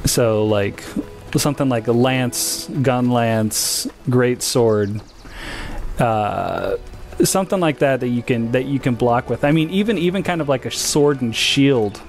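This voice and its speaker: Guy streaming a game, just chatting, kinda halting, normal pitch, music in the background. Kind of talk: casual gaming livestream chat.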